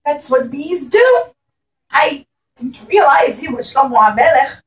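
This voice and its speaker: A woman's voice: high voice, animated, measured pace. A woman voicing a small, apologetic bee that talks in a sweet, pleading way. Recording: echoey room from a distance.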